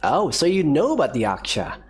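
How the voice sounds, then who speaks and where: A man's voice: a little high, huge pitch swings, fairly quick, breathy edge. A man giving casual, wry playthrough commentary, offhand as he reads out dialogue choices. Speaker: gaming streamer. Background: game music.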